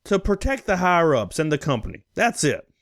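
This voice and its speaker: A man with a high-pitched voice and very lively intonation gives casual commentary. He is sarcastic and blunt, and the sound is close and dry. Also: fairly quick, no room sound. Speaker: streamer reacting to a recorded conference call.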